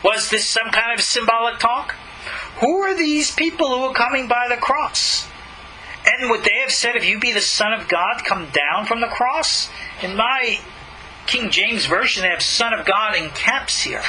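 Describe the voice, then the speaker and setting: A man with a high, piercingly bright voice delivers spoken commentary on scripture. He argues emphatically as a teacher, posing rhetorical questions, with faint background noise behind him. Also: lively intonation, unhurried, poor audio. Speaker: rabbi and teacher.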